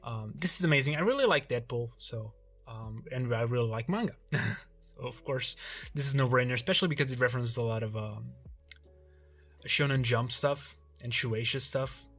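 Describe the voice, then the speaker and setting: A young man from Portugal whose native language is Portuguese, casually showing his manga shelves in a relaxed, rambling way with frequent ums and restarts. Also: mid-range voice, normal speed, faint music behind. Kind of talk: casual collection tour vlog.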